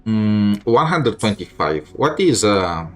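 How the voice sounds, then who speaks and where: Low-voiced man giving casual game commentary. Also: sing-song, slow pace. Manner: thinks aloud, mildly complaining. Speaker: gamer streaming a playthrough. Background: game music.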